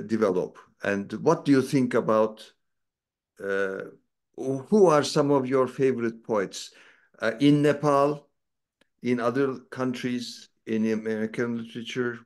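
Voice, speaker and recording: A male interviewer asks his questions slowly, stopping often on "uh" as he searches for words. His pitch is mid-range and his delivery unhurried. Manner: slow, halting questions with many fillers. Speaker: interviewer, host.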